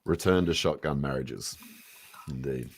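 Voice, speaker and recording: A man's voice: extremely low pitch, measured pace, gravelly, husky, no room sound. A man guest in a casual political chat, blunt and swearing freely.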